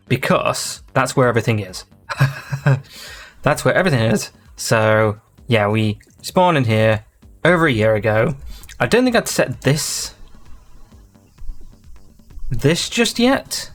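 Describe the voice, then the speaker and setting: Male gaming host, mid-pitched, casual rambling commentary over faint background music. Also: sing-song, unhurried, pauses often. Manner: rambling, thinking aloud, easily sidetracked.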